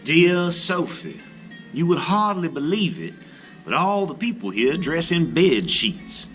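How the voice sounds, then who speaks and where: A man's voice: fairly high pitch, very animated, measured pace, fairly bright tone, faint music behind. A man reading as a chatty, wide-eyed little toy traveler writing a letter, full of eager childlike wonder. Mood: amazed, chatty.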